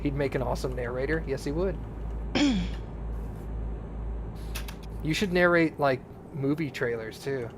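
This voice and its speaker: A man's voice: mid-range voice, a little slow, pauses often, noisy background. A man hosting a let's play stream, chatting casually with friends. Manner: relaxed, chatty host. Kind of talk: casual game chat.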